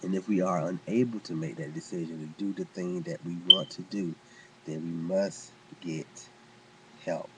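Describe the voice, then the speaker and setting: A man reading an inspirational first-person story aloud as a storyteller, with earnest, deliberate phrasing and dramatic pauses. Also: sits low, fairly even intonation, background noise.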